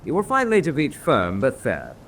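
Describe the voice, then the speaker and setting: An actor playing a senior officer in a radio drama, dry and authoritative, with a clipped, formal manner of briefing. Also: male voice, medium pitch, wildly swooping pitch, normal speed, fairly bright tone, slight background noise. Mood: brisk, authoritative. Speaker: senior prisoner-of-war officer.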